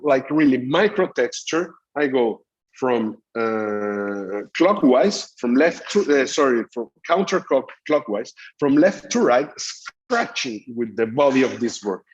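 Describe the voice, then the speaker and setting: Halting middle-aged man teaching a class. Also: mid-range voice, expressive, muffled recording. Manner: explanatory, halting with frequent fillers. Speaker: dental lecturer. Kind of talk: recorded master class lecture.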